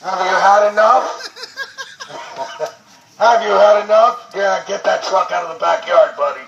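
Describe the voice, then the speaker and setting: A man's voice: sits high, steady tone, measured pace, vocal fry, reverberant, far from the mic, highs cut off, a crowd in the background. A middle-aged man in an indignant, theatrical manner, sometimes amplified through a bullhorn, recorded on amateur video. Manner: loud, indignant, theatrical, often laughing. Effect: bullhorn amplification at times. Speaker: resident confronting noisy tree workers. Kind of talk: shouted bullhorn rants and casual chat. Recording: very noisy amateur home video. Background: loud wood chipper noise.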